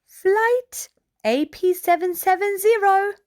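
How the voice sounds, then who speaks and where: A woman's voice: extremely high pitch, takes it slowly, a little mellow. A woman reading a picture book aloud to young children, in a playful manner as the host. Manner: addresses small children, playful sound effects.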